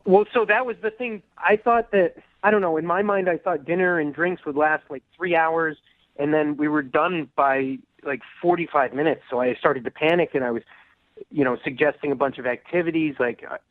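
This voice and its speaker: A man's voice: a little high, moderate pace, no echo. A nervous, hesitant man rambling through a casual chat as a caller to a radio show. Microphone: telephone. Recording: band-limited phone line on radio.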